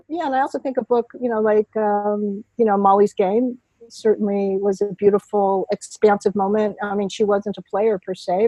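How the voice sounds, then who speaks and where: A woman's voice: medium pitch, warm tone, no echo, rough recording. A woman, a guest chatting on a video call, rambling and laughing often. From New York. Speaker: podcast guest, poker writer. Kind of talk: casual remote interview chat.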